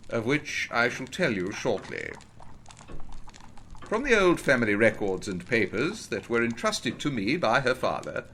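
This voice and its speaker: An actor playing a formal Edwardian gentleman ghost investigator, telling his ghost story in a deliberate, ominous and grave manner. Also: medium pitch, a little hiss or hum. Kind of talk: dramatic first-person storytelling of a ghost story.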